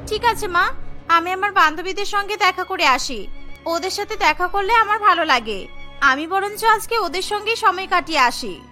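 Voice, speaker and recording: A woman's voice: very high voice, lively intonation, moderate pace, pushed and bright, soft background music, exaggerated voice. A woman voicing a spoiled, indignant young bride in a cartoon, with a whiny, demanding way of talking. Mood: agreeable. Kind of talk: Bengali cartoon voice acting.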